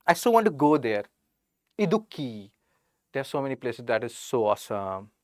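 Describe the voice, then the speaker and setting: A woman's voice: extremely low pitch, very animated, unhurried, pauses often, a little mellow, dry recording, studio quality. A woman with an Indian English accent giving a chatty online exam-prep lecture, joking with sarcastic asides and reading out her students' names. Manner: reads out student names from chat. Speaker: teacher.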